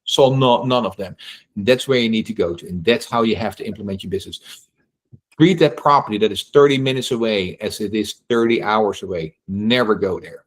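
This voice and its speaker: A middle-aged man from the Netherlands with a Dutch accent, answering questions at a real estate meetup in an animated way, acting out quotes and often asking "right?". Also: fairly low pitch, brisk. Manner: animated, acts out quotes, frequent restarts and "right?". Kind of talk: casual Q&A talk.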